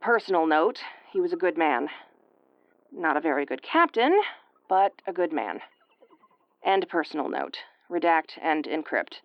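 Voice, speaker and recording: A woman's voice: low-pitched, expressive, measured pace, long pauses, no echo, dull audio. A woman playing an injured, sarcastic starship first officer in an audio roleplay, with a wry, exasperated tomboyish delivery. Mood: weary, wry. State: woozy and battered. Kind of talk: audio roleplay acting, recorded log monologue.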